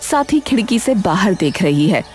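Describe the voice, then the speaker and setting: A woman's voice: medium pitch, very animated, normal speed, darkish tone, soft background music. A woman narrating a scripted cartoon story.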